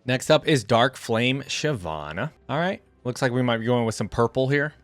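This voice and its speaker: A man's voice: mid-range voice, lively intonation, moderate pace. A man giving casual reaction commentary on video game character skins, thinking aloud as he goes. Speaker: reaction channel host.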